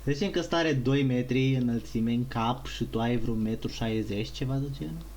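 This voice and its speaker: A Romanian-accented male gaming streamer with a mid-pitched voice, talking casually and swearing freely. He switches between languages, with faint noise and room ambience behind him. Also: brisk, darkish tone. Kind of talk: casual game commentary.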